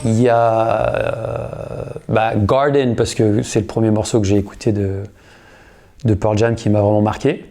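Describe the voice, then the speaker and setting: A man in his thirties, a guitarist and singer, telling a story in a casual interview. He uses a lot of slang and often starts over or pauses with fillers. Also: fairly low pitch, gravelly, faint music behind. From United States. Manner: relaxed, slangy, many fillers and restarts.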